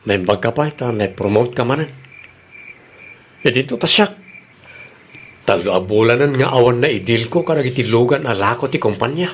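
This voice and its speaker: An actor with a Filipino accent playing an affectionate but insecure husband who broods about money, in an Ilocano radio drama. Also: male voice, mid-range voice, moderate pace, long pauses, slight background noise, close to an everyday voice. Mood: dejected.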